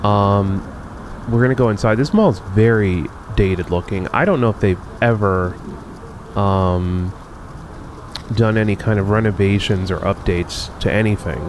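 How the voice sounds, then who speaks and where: A man casually narrating a walkthrough of a rundown shopping mall, musing and unhurried with many pauses and fillers. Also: on the low side, darkish tone, slight background noise. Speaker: video host touring a closed-down mall.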